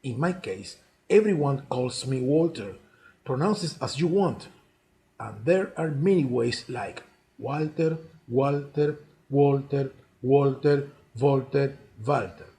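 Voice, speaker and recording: A man in his sixties from Cuba, a native Spanish speaker with a Cuban accent, telling his life story in deliberate, halting non-native English. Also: medium pitch, expressive, mellow. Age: 60s. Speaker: chess player narrating own life story. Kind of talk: autobiographical monologue.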